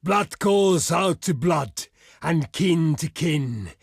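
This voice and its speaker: An actor playing a proud, stern barbarian envoy in a video game, speaking in a solemn, formal and declamatory way. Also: male voice, a little high, animated, slow, creaky, breathy edge, dry recording, clean studio sound, full character voice. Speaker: diplomat character in a strategy game. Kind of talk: theatrical video game voice lines.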